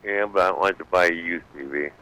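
A man's voice: low voice, fast, pushed and bright, rough recording. A man calling in to a radio show, answering in short, plain-spoken remarks. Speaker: caller. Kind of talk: casual phone chat.

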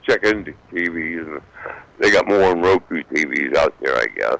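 A man's voice: extremely low pitch, expressive, moderate pace, vocal fry, a bit bright, no echo, low-quality recording. A man calling in to a radio show, answering in short, plain-spoken remarks. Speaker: caller. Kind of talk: casual phone chat.

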